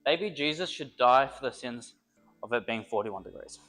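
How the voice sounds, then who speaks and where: A man's voice: mid-range voice, lively intonation, normal speed, a bit bright, faint music behind. A young man, a personal trainer, chatting casually and joking while he works out, a little congested from a cold. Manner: rambling, joking, thinks aloud between sets. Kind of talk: casual workout vlog talk.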